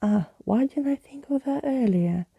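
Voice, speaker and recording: A woman's voice: on the high side, expressive, moderate pace, vocal fry, breathy edge, no room sound, phone-quality sound, voice pushed up, full character voice. A woman voicing a sulky, impatient female creature in a storybook reading, blunt and sarcastic. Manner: tutting, sarcastic.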